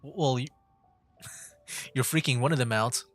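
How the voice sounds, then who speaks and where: A man with a medium-pitched voice streams a game, musing out loud in a casual, halting way. Faint game music plays behind him. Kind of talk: casual livestream commentary.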